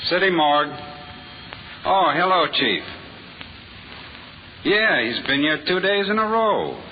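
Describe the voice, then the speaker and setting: A man's voice: on the high side, big pitch swings, slow pace, muffled recording. A man playing a working-class morgue attendant in an old radio drama, talking in loose, rambling slang on a noisy old recording. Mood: casual, chatty.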